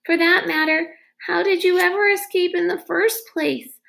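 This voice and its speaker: A woman's voice: very high voice, steady tone, normal speed, vocal fry, fairly bright tone, voice pushed up, exaggerated voice. A woman voicing an anxious, earnest young boy with urgent questions in a children's storybook reading. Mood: anxious, questioning. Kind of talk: children's novel read-aloud.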